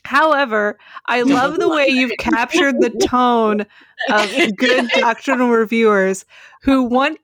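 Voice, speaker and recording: Woman explaining stuff casually, bit high, pauses to think, dry mic. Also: a bit bright, no room sound. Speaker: podcast panelist.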